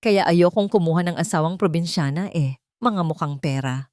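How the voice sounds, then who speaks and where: A woman's voice: on the low side, normal speed, a little mellow, dry recording, natural voice. A woman narrator plays a catty village gossip in an audio story. The figure is judgmental and scornful, heard close up with very little room sound.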